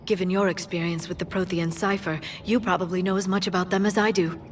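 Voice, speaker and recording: A woman's voice: fairly low pitch, level tone, quick pace, slight background noise, lightly acted. A woman voicing an earnest, scholarly alien scientist in a video game, explaining things carefully. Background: background music.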